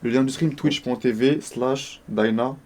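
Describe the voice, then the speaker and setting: A man's voice: medium pitch, unhurried, darkish tone. A young man hosting a casual live stream, talking eagerly and repeating himself as he chats with his guests. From northern Mauritania. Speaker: stream host.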